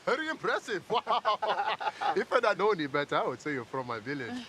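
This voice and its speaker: A courteous young fiance with a Nigerian accent in a film. He speaks formally and avoids contractions, and his voice moves up and down a lot. It sits slightly high for a man. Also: big pitch swings, a little fast, background noise. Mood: polite.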